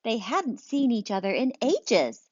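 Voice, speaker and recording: A woman's voice: on the high side, unhurried, husky, no echo. A woman reading a picture book aloud as a teacher, engaging listeners with questions. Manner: lively, engaging, asks questions. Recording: dry, dull lo-fi sound.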